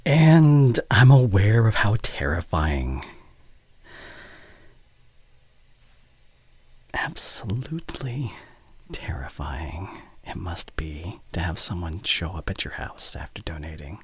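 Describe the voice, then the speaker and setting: Man acting very deep, breathy ancient vampire. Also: very animated, slow pace, a little mellow, dry recording, exaggerated voice. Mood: apologetic. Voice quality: breathy, half-whispered. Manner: formal, old-fashioned, hesitant phrasing. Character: ancient courtly male vampire, besotted, possessive, apologetic. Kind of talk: intimate ASMR roleplay monologue. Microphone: close studio condenser mic.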